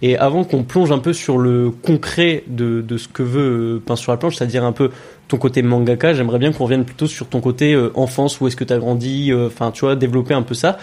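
A male podcast host in relaxed conversation with a guest. He asks long, meandering questions and fills the gaps with fillers as he thinks aloud. His tone stays easygoing and informal. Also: mid-range voice, brisk. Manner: chatty, loose, with many fillers. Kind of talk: casual podcast interview.

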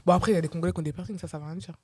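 A woman's voice: fairly low pitch, steady tone, racing, a crowd in the background. A woman co-hosting a podcast in casual chat, teasing her guest and laughing a lot. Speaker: podcast co-host.

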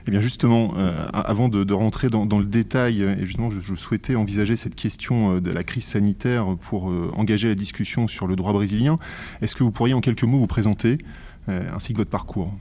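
A man hosting a podcast interview, asking polite, measured questions, recorded at a convention center. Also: on the low side, mellow. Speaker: podcast host.

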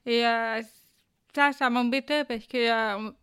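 A woman's voice: on the high side, fairly even intonation, unhurried, fairly bright tone, clean tone. A young woman answering interview questions at a job fair, plain and earnest, with frequent hesitations. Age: young adult. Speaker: guest, deaf employment adviser. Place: quiet corner at a busy job fair.

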